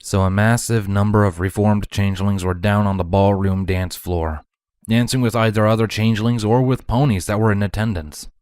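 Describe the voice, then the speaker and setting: A man's voice: lowish voice, fairly even intonation, moderate pace, darkish tone, clear, resonant tone, dry recording, clean studio sound. A man reading scripted fantasy fiction aloud as a storybook narrator. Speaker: narrator, fan fiction reader.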